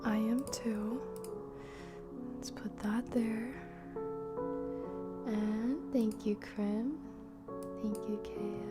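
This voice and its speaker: A woman streaming a game, chatting playfully with viewers and often dropping into hushed whispered asides. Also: on the high side, steady tone, extremely slow, pauses often, over loud music. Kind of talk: casual live-stream chat while playing.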